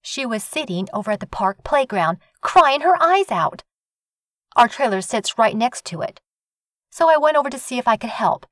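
A man voicing a brash, sharp-tongued young woman neighbor in an audiobook, scolding and sarcastic. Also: extremely high pitch, sing-song, moderate pace, dry recording, clean studio sound, voice pushed up, full character voice. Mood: indignant. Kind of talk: audiobook reading.

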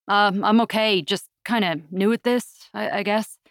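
A woman's voice: medium pitch, fairly even intonation, a little slow, a bit bright, no echo. A shy, nervous man, acted out by a female audiobook narrator, stammers through his words. He is hesitant and awkward, heard in a dry, close recording. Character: shy, nervous male first-time caller.

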